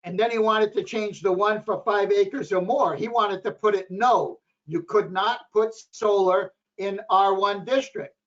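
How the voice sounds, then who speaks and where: Hoarse guy on a planning board, kinda high voice, rambles on, muffled lo-fi sound. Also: a little slow, few pauses, a bit bright. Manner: rambling, repeats himself. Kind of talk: meeting discussion. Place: town meeting room. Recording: dull lo-fi local TV audio.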